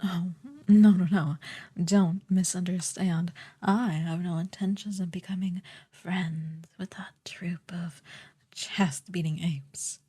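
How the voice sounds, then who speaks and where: A woman playing a haughty, menacing sorceress queen in an ASMR fantasy roleplay, speaking softly and condescendingly, often close to a whisper. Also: on the low side, fairly even intonation. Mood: scornful, ruthless. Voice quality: soft, breathy, partly whispered. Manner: slow, condescending, commanding.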